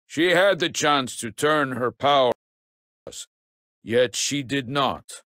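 A stern but compassionate commander from a video game. He speaks slowly and solemnly, with quiet authority. The voice sits at a middle pitch, close and dry. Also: dry recording. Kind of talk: dramatic video game acting.

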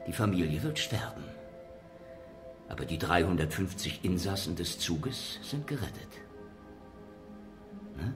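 An actor playing a rational, lecturing doctor with a measured, formal manner in a horror radio drama. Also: male voice, low voice, sing-song, over music. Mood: probing. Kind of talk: German radio drama acting.

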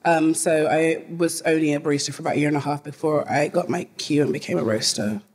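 Middle-aged London woman, panel guest on a stage, handheld mic, talkative and quick to laugh. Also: sits low, steady tone, darkish tone, a little hiss or hum. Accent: London English. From London, England. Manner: chatty and laughing often, with many fillers. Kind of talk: live panel conversation. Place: theater stage in front of a live audience.

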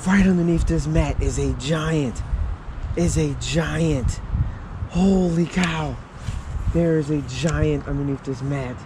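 A man vlogging while fishing at a marshy pond, talking excitedly to his viewers and his child. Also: on the high side, slow. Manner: excitable, talks to viewers as "guys". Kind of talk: casual outdoor vlog narration. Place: outdoors by a marshy pond in woods. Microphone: body-worn action camera. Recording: outdoor camera with clear background noise.

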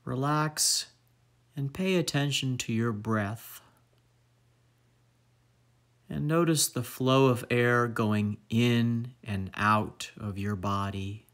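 Slow-paced man guiding a meditation. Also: mid-range voice, fairly bright tone. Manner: slow, measured phrases with long pauses. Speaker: guided meditation instructor. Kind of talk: guided meditation narration.

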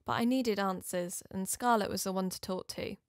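Female investigator-narrator played by an actress: uneasy, confiding, scripted first-person drama, mid pitch, close dry recording. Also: steady tone, normal speed, no echo, soft background music, natural voice. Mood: uneasy, reflective.

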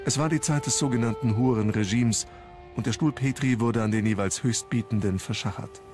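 A man reading scripted documentary narration in a measured storytelling manner. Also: mid-range voice, music in the background.

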